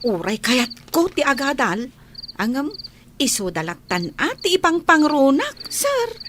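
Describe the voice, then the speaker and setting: A humble young housemaid from a radio drama, acted by a woman, with an Ilocano accent. Her voice is very high and swings expressively, though she takes her words slowly. Clear background noise with chirping insects sits behind her. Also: very animated. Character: humble, hard-working young housemaid supporting her siblings.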